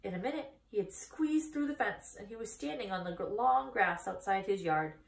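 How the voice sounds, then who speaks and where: A woman in her thirties reading a children's story aloud in a deliberate, expressive manner. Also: medium pitch, gravelly, reverberant. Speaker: story reader. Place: small indoor room against a bare wall.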